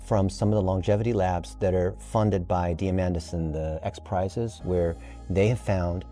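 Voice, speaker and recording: Man, early fifties, low pitch, relaxed mellow tone, enthusiastic explainer, earnest and emphatic, conversational. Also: normal speed, faint music behind. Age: early 50s.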